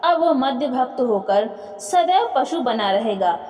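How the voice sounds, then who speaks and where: Sly scheming ghost played by a high-voiced woman. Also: lively intonation, slow pace, a little mellow. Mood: explaining, proud. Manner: deferential, explanatory. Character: sly servile male ghost, scheming and boastful. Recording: echoey room with long reverb.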